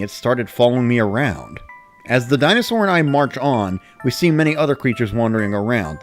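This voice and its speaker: A man giving a scripted first-person narration, like a diary of playing a video game. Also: mid-range voice. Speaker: narrator of a dramatic reading.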